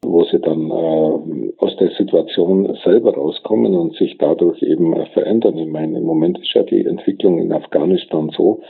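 A man's voice: sits low, steady tone, normal speed, creaky, few pauses. A man giving his opinions informally in an interview, hesitant and thinking aloud. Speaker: interview guest. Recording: thin phone-like sound.

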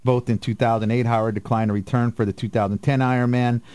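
A man co-hosting a radio show, chatting casually about pop culture with animated, joking exasperation. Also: medium pitch, quick pace, clean tone.

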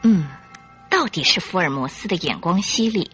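A woman narrator telling a scripted radio drama story with expressive delivery. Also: on the low side, moderate pace, husky, soft background music.

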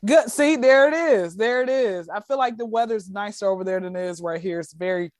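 A woman from Atlanta with a Southern American accent, a comedian chatting casually from home and telling her story in an animated, chatty way. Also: medium pitch, fairly quick, dry recording, clean studio sound. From Atlanta, Georgia, USA. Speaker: guest comedian and podcast host. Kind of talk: casual video chat. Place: indoors at home.

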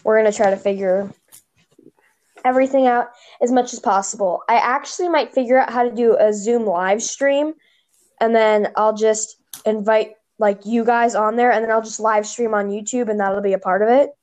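A woman's voice: on the high side, fairly even intonation, normal speed, a little mellow. A woman hosting a casual podcast over a phone, chatty and rambling, with a slight lisp. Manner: chatty, rambling, restarts often. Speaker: podcast host.

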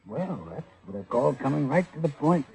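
An actor playing an edgy, menacing murder suspect in an old radio crime drama, tense but smooth with his threats. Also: on the high side, expressive, normal speed, a little mellow, poor audio. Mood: guarded. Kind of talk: 1940s-50s radio crime drama acting. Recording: vintage radio drama recording.

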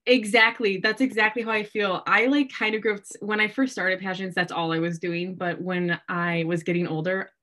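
A woman's voice: mid-range voice, fairly even intonation, normal speed, few pauses, fairly bright tone. A woman in her early twenties with an American accent, telling personal stories in a casual, chatty way with frequent "like.". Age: early 20s. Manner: chatty, heavy use of "like". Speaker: interview guest. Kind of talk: casual chat, personal storytelling.

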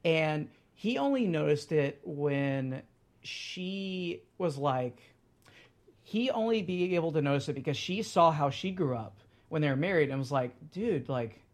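A man in his late thirties talking casually as a podcast guest. His voice sits a little high for a man. He rambles, trips over restarts and leans on fillers. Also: lively intonation, a little slow. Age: 30s. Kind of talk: casual chat.

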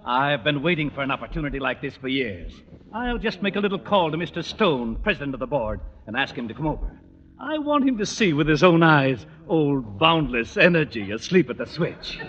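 A pompous, scheming bigwig in a vintage radio comedy. He is imperious and blustering, with a sharp, forceful tone and theatrical swings of pitch on a dull old recording. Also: sing-song, brisk. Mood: gloating. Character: pompous, scheming, domineering male official. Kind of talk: 1940s-50s radio sitcom acting. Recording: muffled old radio recording, faint noise.

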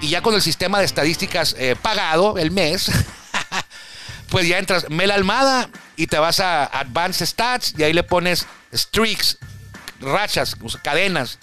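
A man's voice: sits high, lively intonation, measured pace, bright, forceful tone, soft background music. Chatty man talking baseball stats. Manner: chatty, rambling, self-correcting. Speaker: baseball stats radio host. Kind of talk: casual sports talk.

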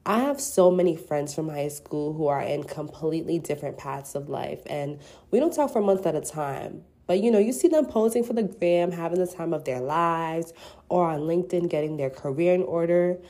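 A woman's voice: deep voice, expressive, moderate pace, mellow. A woman in her twenties hosting a casual solo podcast, playful and slangy, sounding congested from a cold. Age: 20s. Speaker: podcast host.

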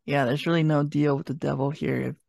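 A man's voice: a little high, flat delivery, fairly quick, gravelly, a little mellow, no echo, clean studio sound. A man chatting casually and wryly as a podcast co-host reviewing a show.

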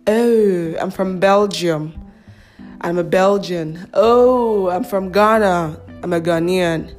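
A woman's voice: fairly low pitch, slow, darkish tone, faint music behind. Laughing Nigerian woman podcast host. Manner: chatty, laughs often, mocking asides. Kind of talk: casual news commentary.

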